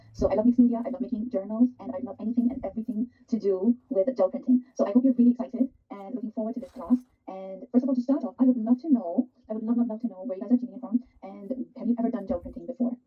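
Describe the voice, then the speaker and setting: Excited woman with a Punjabi accent. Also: medium pitch, slightly rough, phone-quality sound. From Pakistan. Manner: excitable, fast and effusive. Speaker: guest art instructor. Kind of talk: live online art class. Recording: roomy, distant live-stream audio.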